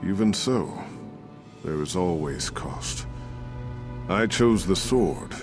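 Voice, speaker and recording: The spirit of an ancient warrior king in a fantasy game. His low voice is solemn and very slow, each phrase weighed like formal oratory, with prominent music behind it. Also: expressive. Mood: solemn regret. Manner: grave, measured, oratorical. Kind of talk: scripted fantasy game acting.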